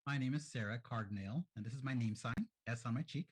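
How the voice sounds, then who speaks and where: A man's voice: medium pitch, fast talker, husky. A man introducing himself on a panel in a friendly, plain-spoken way.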